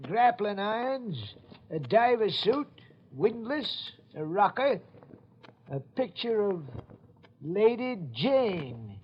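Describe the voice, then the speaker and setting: An actor in a 1940s radio drama playing a chatty, folksy old record keeper in a village, on a dull old recording. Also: male voice, high voice, sing-song, takes it slowly, a little hiss or hum, heavily acted. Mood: matter-of-fact. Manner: folksy rustic speech.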